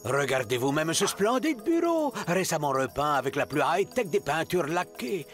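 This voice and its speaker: A man's voice: on the high side, big pitch swings, normal speed. A man playing a theatrical, scheming charm peddler in a cartoon, grandiloquent and grumpy, muttering and exclaiming. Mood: pompous, salesmanlike. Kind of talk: animated cartoon acting. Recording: music under the voice.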